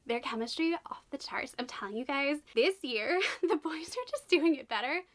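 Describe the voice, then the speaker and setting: A woman hosting a casual book unboxing vlog, a little raspy from being sick, bubbly and gushing, with hushed whispered asides. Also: high-pitched, animated, normal speed. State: slightly raspy from illness. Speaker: book channel host.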